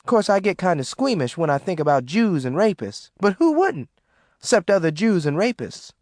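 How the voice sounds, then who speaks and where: A man's voice: sits high, sing-song, moderate pace, darkish tone, dry recording, studio quality, pitched above the performer's own voice. A man putting on a fearless matriarch. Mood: boastful. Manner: bold, emphatic dialect speech. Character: fearless tough older Southern woman, boastful.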